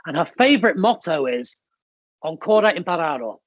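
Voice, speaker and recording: Man, raised in the UK with Italian as first language; high-leaning, dry-miked motivational keynote speaker who tells stories with emphasis. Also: very animated, normal speed, no echo, highs cut off. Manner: emphatic, storytelling, punchy short phrases.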